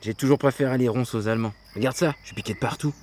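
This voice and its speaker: A man's voice: mid-range voice, very fast, darkish tone, natural voice. Wry partisan played by a man amid noise. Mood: wry grumbling. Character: wry, hardy male Resistance fighter. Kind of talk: French audio drama acting.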